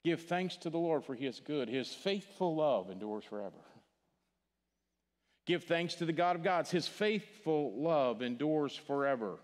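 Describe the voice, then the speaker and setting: An older man from Missouri with a Missouri accent, preaching a funeral sermon in a church sanctuary, earnest, repeating words and dropping to a hush on key phrases. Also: a little high, expressive, unhurried. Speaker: pastor. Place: large church sanctuary stage. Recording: long hall reverb from the church.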